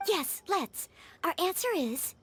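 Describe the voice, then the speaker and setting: A woman's voice: extremely high pitch, lively intonation, slow pace, full character voice. A woman voicing a polite, modest, earnest girl knight in a video game, courteous and deferential. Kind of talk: video game character acting.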